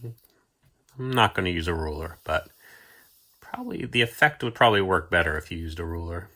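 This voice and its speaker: A man's voice: low-pitched, expressive, moderate pace, sharp tone. A man hosting a homemade drawing video for kids, talking casually and thinking aloud in an offhand way. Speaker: host of a children's storytime and drawing show.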